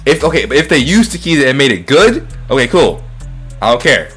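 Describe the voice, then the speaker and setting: A man's voice: mid-range voice, very animated, a little fast, fairly bright tone, husky, soft background music. A man chatting casually and profanely as a podcast co-host, rambling and repeating his phrases. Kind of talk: casual, profane chat about movies.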